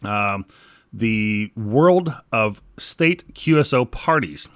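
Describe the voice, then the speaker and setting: A man's voice: on the low side, lively intonation, drawn-out words, fairly bright tone, clean tone, dry recording. An American man hosting a podcast, moving between read news items, sponsor reads and informal remarks. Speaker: podcast host.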